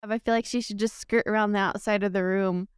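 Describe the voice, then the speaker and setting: A woman's voice: mid-range voice, steady tone, brisk, smooth, no room sound. Woman player in dry, close sound. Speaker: player in a tabletop roleplaying game. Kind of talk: casual game chat.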